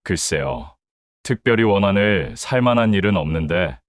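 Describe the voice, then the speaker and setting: A man's voice: lowish voice, expressive, normal speed, no echo, natural voice. A man voicing a worried middle-aged father in an audio drama, speaking in a grave, shaken way, recorded close and dry. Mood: troubled.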